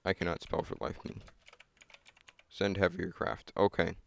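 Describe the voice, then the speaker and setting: Young guy mumbling through game settings, deep voice with lots of fry, cheap mic, keys clacking. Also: fairly even intonation, measured pace. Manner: muttering, self-critical, thinking aloud. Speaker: gamer. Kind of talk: casual gameplay commentary. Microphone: cheap desk mic.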